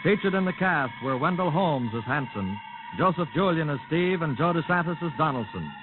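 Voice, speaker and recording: A man's voice: fairly high pitch, expressive, fairly quick, phone-quality sound. Formal male radio announcer, old broadcast. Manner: formal, polished delivery. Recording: thin 1950s radio transcription. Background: music.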